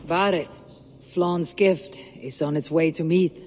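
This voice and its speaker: An actor playing a blunt, tough Viking raider from Norway with a terse, dry manner. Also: male voice, a little high, steady tone, measured pace, noisy background, close to an everyday voice.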